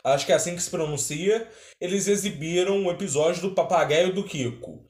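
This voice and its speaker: A Brazilian man hosting a reaction video with casual commentary, recorded in a roomy space. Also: on the high side.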